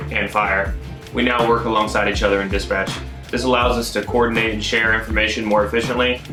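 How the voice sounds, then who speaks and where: A man giving a scripted explainer as a military dispatcher, with informal asides in between. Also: fairly low pitch. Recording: echoey room, music behind.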